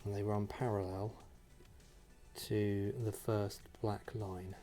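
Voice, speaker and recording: A man hosting a hands-on tutorial, talking casually and thinking aloud in an unhurried way with pauses. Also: on the low side, level tone, warm tone, faint music behind. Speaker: host of a model painting tutorial. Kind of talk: casual talk-through while painting.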